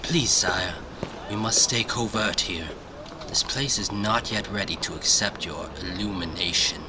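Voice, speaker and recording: A man's voice: fairly low pitch, measured pace, background noise, natural voice. A man playing a solemn, mystical adviser devoted to his lord in an audio drama, speaking formally and reverently in cautioning tones. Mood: pleading.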